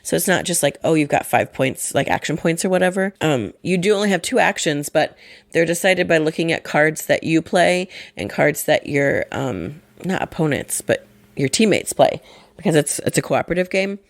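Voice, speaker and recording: A woman co-hosting a casual podcast, her voice gravelly and lower from a respiratory infection, chatty and often correcting herself. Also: lively intonation, moderate pace, no room sound. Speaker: podcast co-host.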